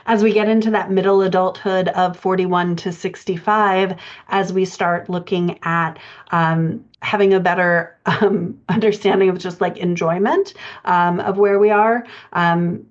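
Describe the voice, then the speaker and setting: A woman coaching writers in an explanatory lecture style, speaking haltingly with pauses as she thinks through her points. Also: lowish voice, fairly even intonation. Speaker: writing coach presenting a podcast lesson. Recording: dull, band-limited audio.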